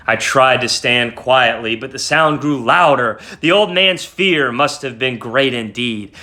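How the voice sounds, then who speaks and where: Man acting a mad, frantic horror-story murderer. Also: medium pitch. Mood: tense, chilled. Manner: dramatic, swinging from hushed to frantic. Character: mad murderous male narrator, frantic and guilt-ridden. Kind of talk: dramatic storybook horror reading. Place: small home room.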